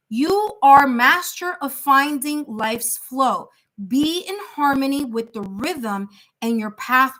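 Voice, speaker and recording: A woman's voice: high-pitched, pushed and bright, poor audio. A woman with a New York accent giving live tarot readings in a chatty, reassuring way, thinking aloud with fillers. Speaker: tarot reader.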